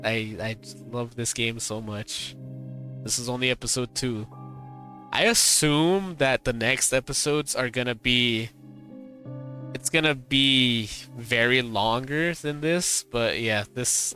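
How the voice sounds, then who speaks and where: A male streamer reading a visual novel's dialogue aloud, his voice tired and strained, halting and repeating words between laughs. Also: medium pitch, bright, forceful tone, soft background music. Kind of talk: reading game dialogue aloud, then casual chat.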